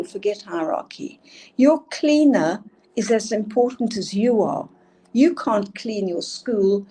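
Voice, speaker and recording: Older South African woman, emphatic presenter, warm low-brightness tone. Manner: earnest, emphatic, asks for next slides. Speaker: school leadership speaker. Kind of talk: presenting slides in a talk.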